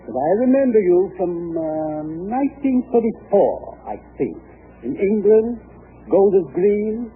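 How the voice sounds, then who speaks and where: Halting gentleman visitor, crackly radio. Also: high-pitched, sing-song, narrow, tinny audio. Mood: hesitant, dry. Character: formal, hesitant gentleman visitor making polite social calls. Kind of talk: 1950s radio comedy-drama acting. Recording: noisy, band-limited 1950 radio broadcast.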